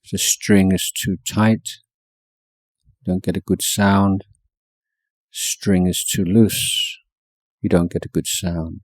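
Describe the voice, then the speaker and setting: Very slow, low-voiced male meditation teacher. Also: level tone, dry recording. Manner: measured, with long pauses between short phrases. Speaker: Buddhist monk teaching meditation. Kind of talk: guided meditation instruction.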